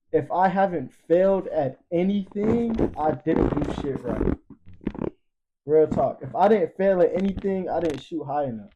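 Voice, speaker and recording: A young man around twenty with a mellow, fairly high voice talks in a casual, motivational way. He is emphatic and slangy, swears freely and circles back to pet phrases. The sound is a little dull. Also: slight background noise. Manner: emphatic, profane, slangy, preachy, repeats catchphrases. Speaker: podcast panelist.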